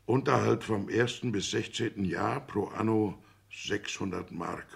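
Grumpy petty-bourgeois dad, older, super creaky husky voice, old german radio play, lays it on thick. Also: male voice, on the low side, a little slow, exaggerated voice. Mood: grumbling, sceptical. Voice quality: gravelly, husky. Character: blustering petty-bourgeois father, aggrieved, calculating, self-pitying.

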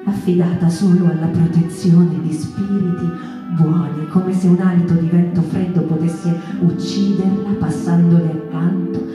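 Solemn female stage storyteller, played by an actress: lowish voice, lyrical, entranced, clear music behind. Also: steady tone, normal speed, hardly any pauses, dark tone, close to an everyday voice. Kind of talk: theatrical literary narration over music. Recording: very echoey room, prominent music behind.

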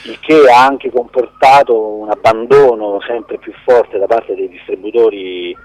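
A man answering as an interview guest in a halting, conversational way, repeating words and letting phrases trail off. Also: fairly low pitch, fairly even intonation, darkish tone, dry recording, rough recording. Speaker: film producer, interview guest.

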